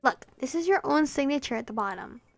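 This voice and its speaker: A woman's voice: high-pitched, expressive, a little fast, gravelly, no room sound, clean studio sound, soft background music. A woman voicing a prim, irritable teenage girl who scolds curtly. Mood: exasperated. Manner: curt, exasperated, scolding.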